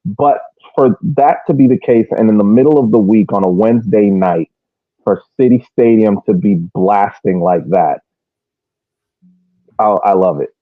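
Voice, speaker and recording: A man's voice: on the low side, unhurried, no echo. Man, tinny phone-like sound, dry, casual podcast co-host, repeats words mid-thought. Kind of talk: casual sports chat.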